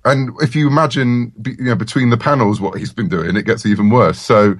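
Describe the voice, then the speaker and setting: A man's voice: mid-range voice, steady tone, brisk, no echo, studio quality. An English man in his forties, a writer being interviewed, chatting casually and enthusiastically. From England. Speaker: comic book writer, guest.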